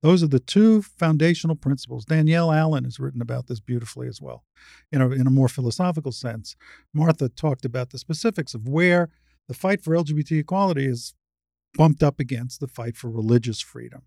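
An older woman speaks as a guest in a spirited discussion. Her voice is low for a woman, recorded close and dry. She explains her points frankly and at some length. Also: a little fast, vocal fry, mellow, no room sound. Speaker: podcast guest, retired surgeon and advocate.

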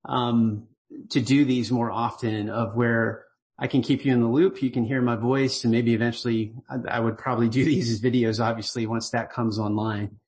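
A middle-aged man, the writer of a newsletter, gives a casual voicemail-style update, relaxed and earnest, rambling with fillers and restarts. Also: mid-range voice, normal speed. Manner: grateful and earnest.

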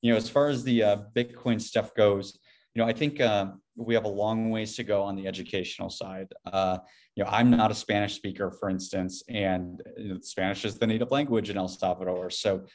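Male podcast guest, low voice, casual and rambling delivery with plenty of hedging. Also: steady tone, fairly quick, darkish tone. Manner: rambling, hedging with frequent "you know". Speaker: podcast guest, Bitcoin company CEO. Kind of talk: casual interview conversation.

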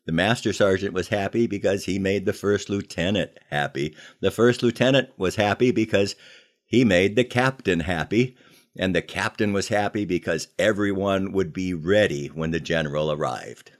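Deep-voiced older American man telling stories. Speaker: podcast host telling army memories. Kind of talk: conversational storytelling with moral lessons.

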